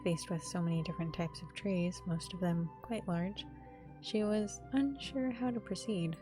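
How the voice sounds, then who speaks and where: Lowish-voiced woman playing a visual novel. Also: moderate pace. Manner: informal, expressive. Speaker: game streamer. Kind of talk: casual gaming commentary and reading narration aloud. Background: game music.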